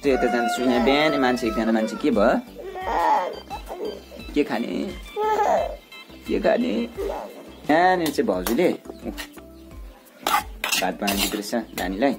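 A man's voice: mid-range voice, expressive, unhurried, long pauses, over loud music. A young man narrating a casual village vlog while filming on a handheld phone, chatting with family as he goes. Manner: chatty, mixes asides to family with narration to camera. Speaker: village vlogger. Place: rural hillside house and village, indoors and out.

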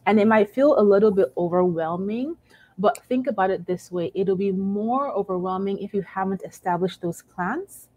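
A woman's voice: medium pitch, normal speed, mellow, no echo, lo-fi sound. A woman in her thirties giving encouraging personal finance advice over a video call from home, often adding "right?". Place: home room, indoors. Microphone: video call webcam.

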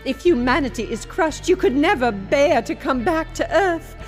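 A theatrical psychic played by a woman speaks in a high voice that swings widely. She is admonishing and dramatic, in radio-comedy style over faint music. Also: lively intonation, moderate pace. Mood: reproachful, knowing. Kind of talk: sci-fi radio comedy acting.

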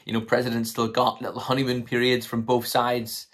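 Young guy, bit hoarse, chatty commentary, keeps hedging and thinking out loud, average pitch. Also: completely flat delivery, fairly quick. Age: 20s. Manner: tentative, hedging, thinking aloud. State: slightly hoarse. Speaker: political commentary vlogger. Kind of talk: casual unscripted commentary to camera. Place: small room at home, indoors.

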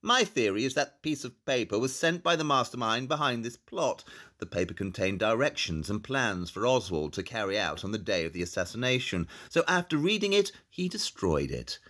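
An actor playing a brilliant, self-assured detective in an audio drama, speaking in a precise, theatrical, condescending way. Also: male voice, lowish voice, big pitch swings, moderate pace, fairly bright tone. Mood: superior, expository.

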